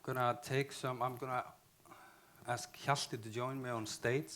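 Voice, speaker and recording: A man's voice: medium pitch, little pitch movement, fairly bright tone, a little hiss or hum. A man with an Icelandic accent giving a conference talk in English, often restarting and correcting himself. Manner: halting, restarts phrases, self-corrects. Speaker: conference speaker.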